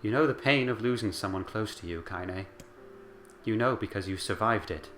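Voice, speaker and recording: A man voicing a small, fierce, vulgar old grandmother with a thick, rough voice. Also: on the low side, normal speed, a bit bright, a little hiss or hum, lightly acted. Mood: stern, tender.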